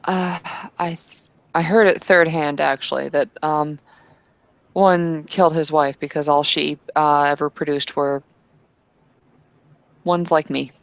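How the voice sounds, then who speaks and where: A woman's voice: deep voice, fairly even intonation, a little slow, gravelly, pauses often, no room sound, dull audio. A woman casually narrating her character in a tabletop roleplay, hesitant and full of fillers.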